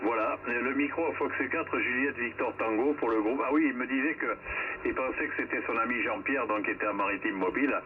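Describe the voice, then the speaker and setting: A man's voice: medium pitch, brisk. A man chatting casually as an amateur radio operator, in a conversational, somewhat hesitant way, over a shortwave link. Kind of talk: casual ham radio contact. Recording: narrow-band shortwave radio, clear static noise.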